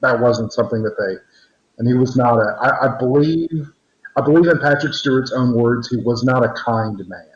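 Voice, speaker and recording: A man's voice: medium pitch, moderate pace. Man with roomy, muffled call audio. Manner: rambling, many restarts and fillers. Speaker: podcast co-host. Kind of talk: casual discussion over a call.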